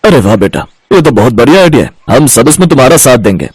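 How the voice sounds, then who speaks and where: A man's voice: medium pitch, big pitch swings, fast, darkish tone, slightly rough, no echo. A man voicing genial, approving village men in a moral story.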